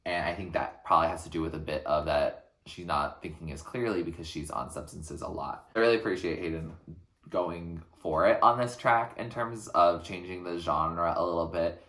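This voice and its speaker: A man giving casual reaction commentary as a music reaction host, speaking in a reflective, hesitant, halting way in an echoey room. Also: sits low, fairly bright tone.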